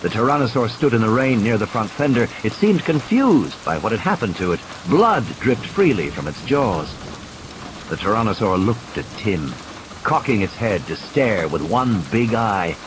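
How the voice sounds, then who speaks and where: A man's voice: fairly low pitch, very animated, normal speed, background noise. A man reading a thriller audiobook as a dramatic scripted narration. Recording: clear rain and storm effects behind. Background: rain, vehicle and ambience sound effects.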